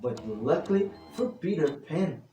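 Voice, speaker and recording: A man voicing a gentle older sister, a girl telling a bedtime story in a storybook. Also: on the high side, sing-song, a little slow, faint music behind. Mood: storytelling. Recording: roomy, distant mic with faint noise.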